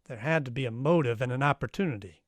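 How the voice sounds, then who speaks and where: An actor playing a wry, tough private detective, narrating and questioning in an old-time radio crime drama. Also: male voice, medium pitch, quick pace, no echo, clean studio sound, natural voice. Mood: sardonic, accusing. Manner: wry, matter-of-fact.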